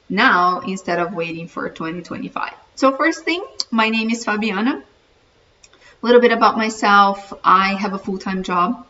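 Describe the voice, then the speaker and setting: Brazilian-accented woman, earnest vlog host, bright but low-pitched voice, distant muffled mic. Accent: Brazilian Portuguese. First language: Portuguese. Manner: earnest, encouraging, talks straight to viewer. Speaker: vlogger, channel host. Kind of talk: casual self-introduction to camera. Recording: distant mic, dull muffled sound, faint room hiss.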